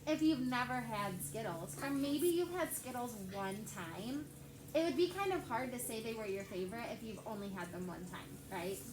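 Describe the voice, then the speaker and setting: A woman's voice: on the high side, expressive, moderate pace. A young woman teaching a class of children, reading a story aloud and asking the kids lots of questions in a chatty way. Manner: chatty, asks the kids many questions, heavy use of "like". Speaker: elementary school teacher. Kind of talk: classroom read-aloud lesson. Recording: echoey classroom with background noise.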